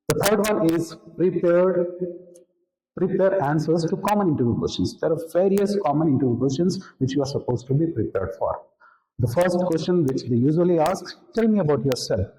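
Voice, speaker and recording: A man's voice: on the high side, dark tone, slight background noise. A man with an Indian accent giving an instructional talk as an interview coach, didactic and repeating key points for emphasis. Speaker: interview skills coach, presenter. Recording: roomy, echoing room.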